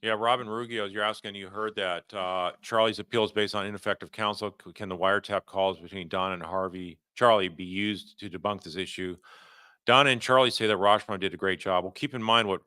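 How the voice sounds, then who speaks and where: Deep-voiced man giving off-the-cuff legal commentary. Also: steady tone, a little fast, bright, forceful tone, smooth, no room sound, studio quality. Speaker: host of a legal commentary livestream. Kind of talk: unscripted legal commentary answering viewer questions.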